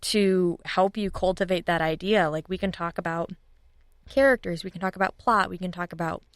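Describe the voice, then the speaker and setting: A woman's voice: lowish voice, normal speed, no room sound, studio quality. A woman chatting casually and enthusiastically as an interview guest, informal and upbeat. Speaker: guest, audio drama writers' room leader.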